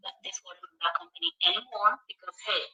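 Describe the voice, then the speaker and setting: A woman's voice: mid-range voice, fast talker, pushed and bright. A woman from Nigeria with a Nigerian accent, chatting casually as a live streamer. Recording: echoey room, distant from the mic.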